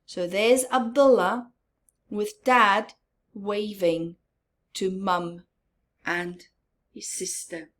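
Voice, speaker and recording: A woman storyteller reading a picture book to children expressively, with dramatic emphasis, drawn-out words and hushed, chatty asides. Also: mid-range voice, fairly even intonation.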